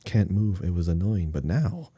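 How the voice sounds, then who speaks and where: A man's voice: sits low, sing-song, normal speed, warm tone, husky, dry recording, clean studio sound. A man co-hosting a gaming podcast, chatting casually and informally. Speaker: podcast co-host.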